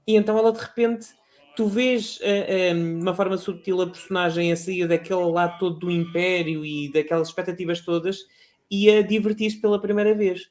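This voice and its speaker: A man with a European Portuguese accent talking casually about video games, animated and rambling, full of restarts and fillers. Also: high voice, a little fast. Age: adult. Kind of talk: casual gaming chat.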